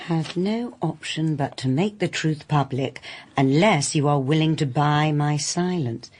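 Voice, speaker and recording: Brisk, wry English sleuth, lowish voice. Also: female voice, a little mellow. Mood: brisk, dry. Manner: brisk, dry, matter-of-fact. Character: brisk, wry, capable woman private detective. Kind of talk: BBC radio drama acting.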